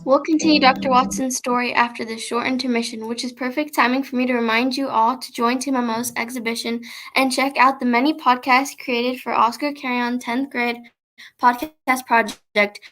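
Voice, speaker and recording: A woman's voice: on the high side, fairly even intonation, moderate pace, fairly bright tone, rough recording. A woman reading a scripted announcement as the announcer of a radio play.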